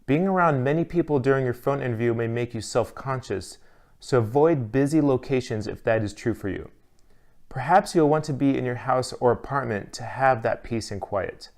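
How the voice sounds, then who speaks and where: A man's voice: mid-range voice, a little mellow. Man narrating a scripted lesson. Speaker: instructor.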